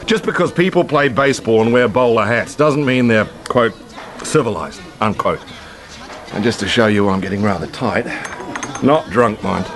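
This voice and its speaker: A man's voice: mid-range voice, natural voice. A male actor playing a brash, hard-drinking, cynical Australian man in a radio play, with blustery slangy talk. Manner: blustery, slangy, chuckling, swearing. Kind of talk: BBC radio play acting. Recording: radio play with loud music underneath. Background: orchestral film soundtrack, city and vehicle sounds.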